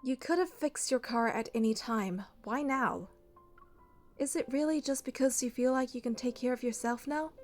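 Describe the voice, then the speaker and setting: A woman's voice: high-pitched, moderate pace, faint music behind, lightly acted. A woman voicing a young female hunter and bodyguard, narrating a romance game in an earnest, lightly teasing way. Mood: reflective. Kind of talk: scripted first-person game narration and dialogue.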